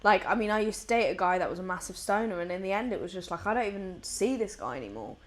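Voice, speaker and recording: A young woman in her mid-twenties chatting loosely as a podcast guest. She speaks at an everyday pitch, fills her talk with "like" and "you know", and swears casually and often. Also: expressive, fast. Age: mid-20s. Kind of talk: casual chat.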